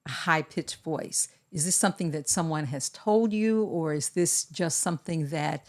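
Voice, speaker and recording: A woman co-hosting a podcast, speaking in a measured, deliberate way as she gives advice in casual conversation. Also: deep voice, darkish tone. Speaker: podcast co-host.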